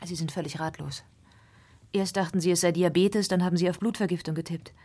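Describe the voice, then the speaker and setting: Actress as troubled Victorian lady, low pitch, husky, earnest, formal, radio drama. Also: fairly even intonation, a little fast, warm tone, close to an everyday voice. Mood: anguished. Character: anguished Victorian lady of the house, politely confiding. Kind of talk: German radio crime drama acting.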